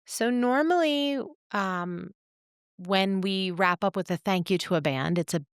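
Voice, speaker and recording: A woman co-hosting a podcast, talking in a thoughtful, measured, reflective way. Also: mid-range voice, smooth, no echo, studio quality. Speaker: podcast co-host.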